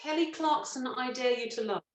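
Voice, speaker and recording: A middle-aged woman from Britain, a stage actress telling lively, self-mocking stories in an interview and laughing often. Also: sits high, brisk. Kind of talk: relaxed anecdotal interview chat. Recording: roomy echo.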